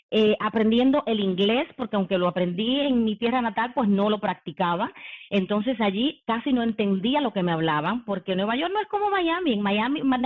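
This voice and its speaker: A woman in her forties, from the Dominican Republic, telling her own story in a leadership talk on a video call, with many "em" and "eh" fillers. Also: on the high side. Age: 40s. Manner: warm conversational, frequent "em" and "eh" fillers. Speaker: company executive giving a leadership talk. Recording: phone-like online meeting audio.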